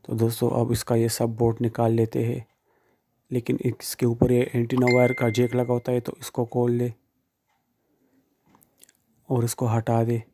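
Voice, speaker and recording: A man's voice: medium pitch, monotone, measured pace, long pauses, warm tone. A man giving plain, informal step-by-step how-to instructions, speaking directly to the viewer. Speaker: phone repair tutorial presenter.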